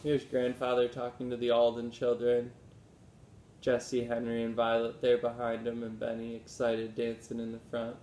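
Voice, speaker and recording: A man's voice: monotone, normal speed, darkish tone. A man in his thirties reading a children's story aloud like a teacher, expressively, acting out the dialogue. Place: small room at home. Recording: roomy echo, low rumbling noise.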